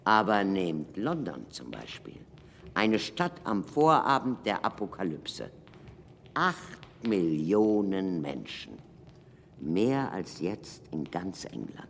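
An older man playing a grave scholarly excavator in a radio drama, speaking emphatically and deliberately with dramatic pauses. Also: sits low, wildly swooping pitch, creaky, fairly bright tone, a little hiss or hum, heavily acted. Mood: musing. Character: grave scholarly excavator recounting a civilisation's collapse. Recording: reverberant hall, music underneath.

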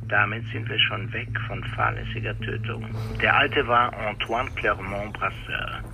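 Precise police deputy, noisy background. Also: male voice, on the low side, steady tone, a little slow. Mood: firm, factual. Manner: precise, explanatory, formal wording. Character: learned, skeptical male police deputy, cautious and exacting. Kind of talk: German radio crime drama acting.

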